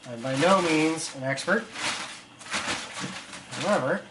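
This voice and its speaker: Raspy man with a cold, noisy room. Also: fairly high pitch, slow. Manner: relaxed, thinks aloud with fillers and self-corrections. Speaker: potter demonstrating on the wheel. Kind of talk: casual instructional talk. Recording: loud background noise.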